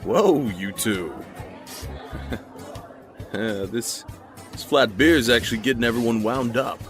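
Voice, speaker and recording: A man's voice: medium pitch, takes it slowly, soft background music, a faint crowd, natural voice. A man acting an easygoing, awkward friend keeping the peace in an audio drama, hesitant and placating.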